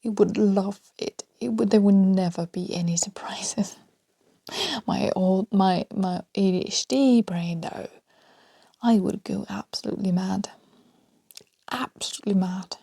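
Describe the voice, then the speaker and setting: Danish woman podcasting solo, slow and soft, drifts into half-whispers, mellow dry sound. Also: mid-range voice, gravelly, breathy edge, dry recording. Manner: rambling, soft, often half-whispered, laughs between thoughts. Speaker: podcast host. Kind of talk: unscripted solo podcast rambling.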